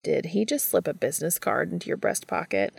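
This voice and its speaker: A woman reading a story aloud and voicing a reserved young man who speaks quietly and dryly. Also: fairly low pitch, very animated, brisk, vocal fry, breathy edge, exaggerated voice. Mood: dry, wry. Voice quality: dry and quiet.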